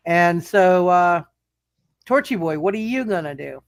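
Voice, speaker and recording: A man's voice: high-pitched, lively intonation, unhurried, no room sound. A man narrating a live tabletop superhero game as game master, stammering and often repeating his words.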